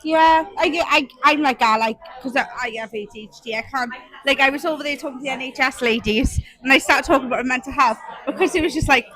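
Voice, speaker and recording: A woman's voice: high voice, fast, bright, forceful tone, poor audio. A woman hosting a casual podcast interview, bubbly and chatty with frequent giggles. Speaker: podcast host. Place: community event.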